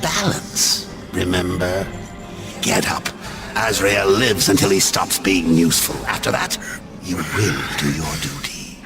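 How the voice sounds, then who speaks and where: An actor voicing a cruel, scornful demonic taskmaster in a video game, sneering and commanding theatrically. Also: male voice, low voice, lively intonation, measured pace, vocal fry, full character voice. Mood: sneering threat. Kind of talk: video game villain acting. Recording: loud music under the voice.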